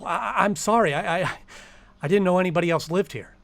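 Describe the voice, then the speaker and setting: A man acting a nervous poet in a radio drama, narrating an eerie ordeal in a halting, stammering way. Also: sits high, vocal fry, no echo. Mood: panicked. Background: music.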